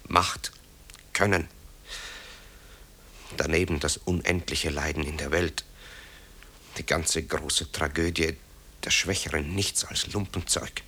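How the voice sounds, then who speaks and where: An actor playing a tormented, guilt-ridden composer in a radio play, with a husky voice and brooding, impassioned delivery. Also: male voice, a bass voice, sharp tone, heavily acted. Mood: bitter, brooding. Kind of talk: dramatic radio play acting.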